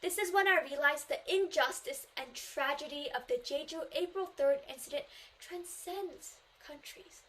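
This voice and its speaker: A woman's voice: high-pitched, moderate pace, a bit bright, poor audio. A Korean teenage girl, a native Korean speaker, delivering an earnest memorized speech in English at a student contest, stressing key phrases. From South Korea. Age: teens.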